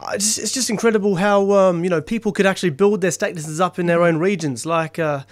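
A man, hosting a casual chat in a halting, rambling way. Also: high-pitched, no echo. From England. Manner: rambling, halting, many fillers.

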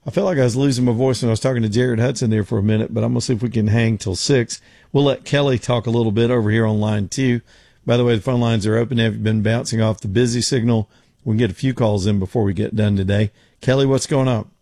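A man hosting a talk radio show, hoarse and rambling, with lots of fillers and restarts. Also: mid-range voice, fairly even intonation, fast, warm tone, dry recording, studio quality. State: getting hoarse. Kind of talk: casual talk radio with live read ads.

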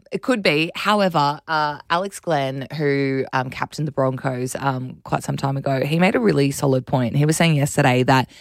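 A woman with a raspy voice and an Australian accent, co-hosting breakfast radio with casual, animated chat about sport. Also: very deep voice, normal speed, no room sound, studio quality.

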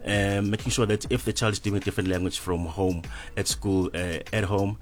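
A man from South Africa speaking as a language lecturer guest on a radio show, answering in a measured way with fillers and repeated words. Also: low voice, fairly even intonation.

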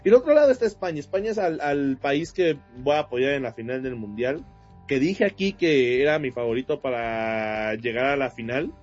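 A Mexican man with a Mexican Spanish accent, co-hosting a casual sports chat show, talking in an animated, mocking way and often laughing. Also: medium pitch, moderate pace, lo-fi sound, soft background music. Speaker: show co-host.

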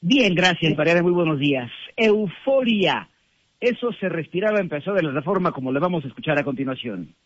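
A man with a Mexican accent giving a measured radio field report. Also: a little high, expressive, highs cut off. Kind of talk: news report narration.

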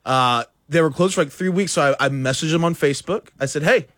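A man's voice: a little high, fast talker, fairly bright tone, no room sound, clean studio sound. A man on a sports radio show, telling a story in an earnest, slightly sheepish way.

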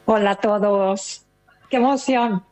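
Older Mexican woman, chatty and expressive. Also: mid-range voice, slow pace, soft background music. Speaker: interview guest, retired listener. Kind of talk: casual conversational storytelling.

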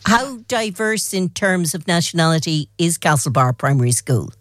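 Low-voiced woman reporting local news. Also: animated, normal speed, no room sound, studio quality.

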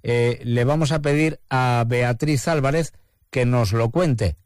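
A man's voice: mid-range voice, a little slow, darkish tone, smooth, dry recording, clean studio sound. Man, Spain accent, radio news presenter, mid-range voice, local bulletin delivery. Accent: Spanish from Spain.

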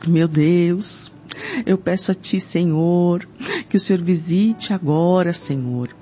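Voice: medium pitch, slow, dark tone. Fervent Brazilian prayer leader, dull lo-fi sound. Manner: fervent, pleading, punctuated by repeated invocations. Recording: dull lo-fi sound with music behind. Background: music.

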